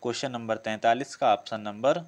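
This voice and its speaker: A man's voice: mid-range voice, fairly even intonation, fairly quick. A man teaching a test-prep lesson, working through questions didactically and repeating key phrases. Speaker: exam coaching teacher.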